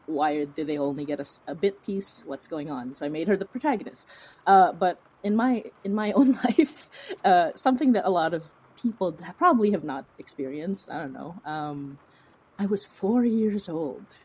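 A woman from the Philippines telling stories casually as an interview guest, in an animated, chatty, self-interrupting way. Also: deep voice, husky. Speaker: podcast guest, fiction podcast creator. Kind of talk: casual conversational storytelling.

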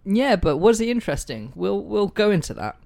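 A man's voice: sits high, sing-song, fairly quick, creaky, a little mellow. Jokey man hosting a podcast. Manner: jokey, self-deprecating, swears casually. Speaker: podcast host. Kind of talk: casual history podcast chat. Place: room at home with pets and food on the table. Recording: dry, poor-quality home mic.

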